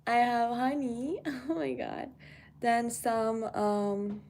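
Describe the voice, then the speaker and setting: Persian-accented young woman, chatty and gravelly. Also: a little high, steady tone, slow pace, a little mellow, a little hiss or hum. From Iran. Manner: chatty, thinks aloud while eating. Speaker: vlogger. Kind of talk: casual chat to viewers. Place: home kitchen, indoors.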